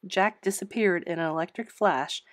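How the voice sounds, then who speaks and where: Low-pitched woman reading a story aloud. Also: moderate pace, dry recording, studio quality. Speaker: story narrator. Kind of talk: scripted audiobook-style read-aloud.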